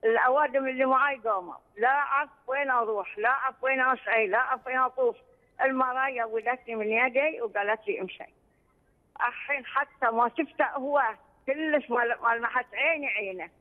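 A woman's voice: sits high, quick pace. A woman calling in by phone, telling a long rambling personal story with some anxiety. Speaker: caller. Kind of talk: phone-in question to a religious scholar. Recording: tinny phone line with long reverb.

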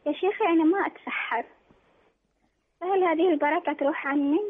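A woman with a high voice speaks quickly over a thin, phone-like line. She is respectful and halting, often restarting her sentences as she asks. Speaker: caller. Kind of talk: phone-in question. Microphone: telephone.

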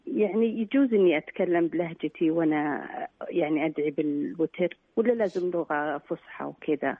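A woman speaks quickly over a dry, phone-like line. She sounds shy and hesitant and keeps filling her pauses. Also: sits low, breathy edge, no room sound. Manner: shy, hesitant, many fillers. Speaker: caller. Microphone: telephone.

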